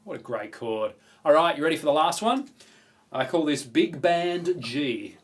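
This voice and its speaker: Australian man, chatty guitar teacher over loud guitar. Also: fairly high pitch, expressive, unhurried. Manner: informal, chatty, enthusiastic. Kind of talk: casual video guitar lesson. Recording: guitar playing prominent alongside the voice. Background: acoustic or electric guitar strumming.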